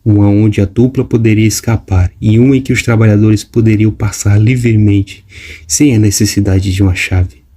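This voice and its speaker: A man reading a storybook in a steady, scripted narration. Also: lowish voice, normal speed. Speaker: audiobook narrator. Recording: music playing behind. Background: music.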